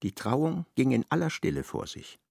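Animated, creaky-voiced male narrator. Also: fairly high pitch, normal speed. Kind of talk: scripted literary narration in a radio play.

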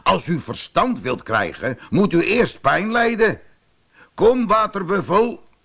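A man's voice: high voice, slow, gravelly, pitched above the performer's own voice, exaggerated voice. Man als beleefde, slimme rijstboer, levendige stem. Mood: calmly triumphant. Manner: deferential, polite, calmly cunning. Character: shrewd rice farmer, humbly courteous to a tiger. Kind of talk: storybook character voice.

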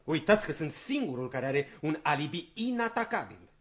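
Excitable, indignant male suspect. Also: on the high side. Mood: indignant, defiant. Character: excitable, indignant male actor under suspicion. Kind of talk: radio play acting.

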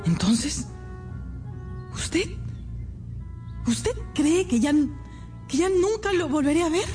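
A woman's voice: extremely high pitch, very animated, takes it slowly, a little mellow, soft background music, full character voice. An actress playing an anxious, naive and devoted young woman in a radio drama, speaking earnestly with hesitant repetitions. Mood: distressed, insistent. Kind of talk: Spanish-language radio drama acting.

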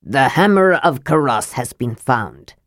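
A woman's voice: a bass voice, lively intonation, a little slow, dry recording, clean studio sound, pitched below the performer's own voice. A woman voicing a stern elderly male dwarf making a solemn announcement.

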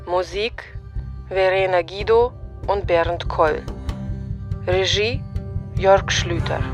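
Slow-paced woman announcing radio credits. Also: on the low side, steady tone, over music. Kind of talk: scripted radio drama title announcement.

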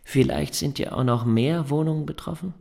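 An actor in a German radio drama playing a pedantic, insistent neighbour who needles and slyly presses for money. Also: male voice, a little high, normal speed, creaky, a little mellow. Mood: pressing, sly.

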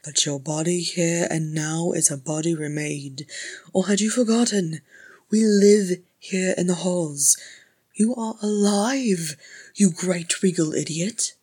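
A gruff old dwarf healer from a storybook, acted out by a woman, loud and blustering. He scolds with brusque exasperation in a husky voice, pitched a little above the reader's usual. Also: fairly even intonation, unhurried. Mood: brusque scolding.

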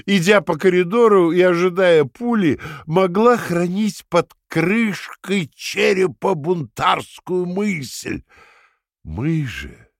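An actor playing a coldly patient, menacing Party interrogator in a radio play, with a theatrical, deliberate, lecturing delivery that swings from hushed to shouting. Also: male voice, a little high, no echo, clean studio sound, full character voice. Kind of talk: dramatic radio play acting.

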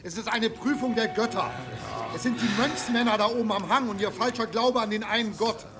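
A man's voice: high voice, brisk, music in the background, natural voice. A man acting a hot-headed, scornful goat farmer stirring up villagers in a German radio drama. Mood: inciting. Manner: sneering, agitating.

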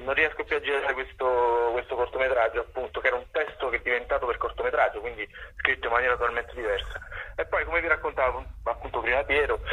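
Guy being interviewed on radio, kind of raspy and bright, rambles with lots of hesitation. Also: medium pitch, rough recording. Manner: hesitant, discursive. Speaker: reporter, playwright and director, interview guest. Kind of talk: conversational radio interview answers.

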